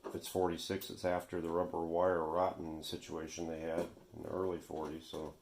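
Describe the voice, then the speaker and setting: A man with a low, gravelly voice gives a casual hands-on demonstration. He talks off the cuff, half to himself, as he works. The room is echoey, with faint noise behind him. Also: little pitch movement, normal speed. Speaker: vintage radio repair video host. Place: indoor workshop.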